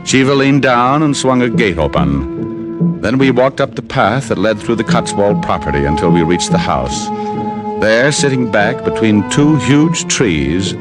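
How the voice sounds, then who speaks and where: A man's voice: lowish voice, big pitch swings, measured pace. An actor playing a wry, unflappable private detective who narrates his own case in old-time radio style. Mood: narrating coolly. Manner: wisecracking, clipped. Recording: old radio drama, music behind.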